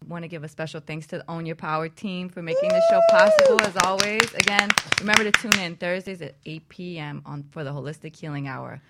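A woman's voice: fairly low pitch, huge pitch swings, fairly quick, few pauses, heavy background noise. A woman co-hosting a radio talk show, giving casual announcements and plugs.

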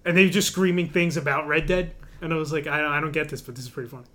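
Fast-talking higher-pitched male podcaster. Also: over a noisy crowd. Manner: joking, profane. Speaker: podcast co-host. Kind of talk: casual banter.

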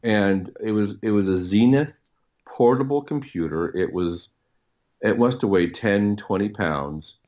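A man's voice: on the low side, darkish tone. A middle-aged man telling stories casually as an interview guest, often doubling back and breaking off his phrases. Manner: halting, repetitive, rambling anecdotes with self-interrupted phrases. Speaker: podcast guest, writer and audio producer.

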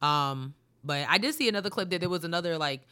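A woman co-hosting a casual chat, with a lowish voice that still sounds bright. She drags out her words and hammers them one by one when she gets worked up. Her talk is relaxed and conversational. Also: smooth. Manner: emphatic, slows down to stress indignant points. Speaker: podcast co-host. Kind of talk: casual chat about news.

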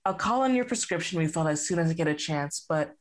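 A woman with a Canadian accent plays a calm, absent-minded therapist who gives stock advice. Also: deep voice, quick pace. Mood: brisk. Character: distracted, bland female therapist.

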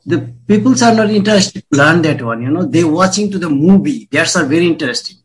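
A man from Bangladesh with a Bengali accent, speaking devoutly and haltingly in non-native English as he shares in a group. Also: fairly high pitch, lively intonation, a little hiss or hum. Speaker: class participant, devotee. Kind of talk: personal sharing in group discussion.

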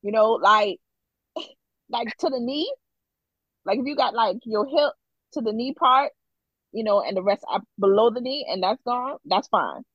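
Husky, lively giggly woman co-host. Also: a little high, unhurried, long pauses, no room sound, lo-fi sound. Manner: rambling, giggly, hedges with "like". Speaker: podcast co-host. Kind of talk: casual group chat.

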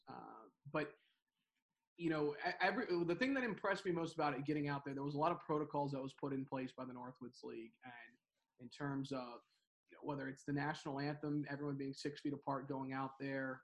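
A man's voice: medium pitch, poor audio. A young man co-hosting a casual sports podcast, rambling with many fillers and restarts. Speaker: baseball broadcaster, co-host.

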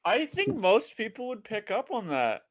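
A man's voice: high voice, wildly swooping pitch, moderate pace, a loud crowd. A man in casual chat, earnest and reasoning.

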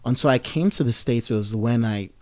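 A man's voice: mid-range voice, brisk, a little mellow. A man in his thirties from Nigeria, talking casually and reflectively in a conversation, with lots of fillers and restarts. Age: 30s. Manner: reflective, rambling, many fillers. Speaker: guest.

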